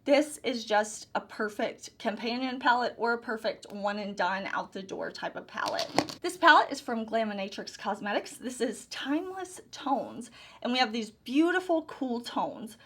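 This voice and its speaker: Woman, 30s, enthusiastic beauty vlogger, higher-pitched, chatty and effusive. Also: expressive, normal speed, a bit bright. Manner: gushing, enthusiastic, repeats phrases. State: hoarse, recovering lost voice. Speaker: beauty YouTuber. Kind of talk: casual product overview.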